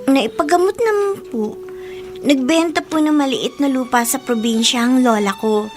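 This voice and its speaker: An actress voices a young housemaid from the provinces in a radio drama. Her voice sits a little high, and she is polite, shy and careful with every answer. Music plays clearly behind her. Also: moderate pace, darkish tone, lightly acted. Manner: deferential, hesitant. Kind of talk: Filipino radio drama acting.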